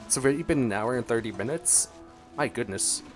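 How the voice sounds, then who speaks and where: A man giving casual, expressive gameplay commentary, talking to himself playfully as he plays. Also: mid-range voice, fairly quick. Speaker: gaming streamer. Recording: game music behind voice. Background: video game music.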